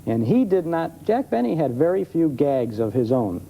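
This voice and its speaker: A man's voice: a little high, big pitch swings, normal speed, gravelly, dark tone, rough recording. A man speaking conversationally as an expert guest, telling stories with enthusiasm on an old television tape. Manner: enthusiastic storytelling. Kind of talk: conversational interview. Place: television studio. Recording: old TV tape, faint noise.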